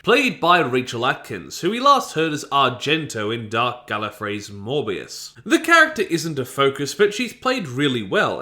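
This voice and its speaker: A man narrating a scripted review of an audio drama, opinionated and with sudden jumps in pitch for emphasis. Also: sharp tone.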